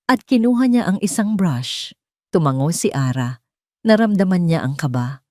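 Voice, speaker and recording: A woman narrates a scripted drama in a fairly low voice. The recording is dry, with very little room around her. It is delivered as dramatic storytelling. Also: lively intonation, moderate pace, a little mellow, no room sound.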